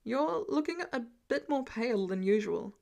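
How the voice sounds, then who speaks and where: A woman's voice: high-pitched, expressive, moderate pace, vocal fry, darkish tone. A teenage best friend, voiced by a woman, headstrong and protective. He has a dry, teasing delivery suited to an audiobook. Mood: concerned.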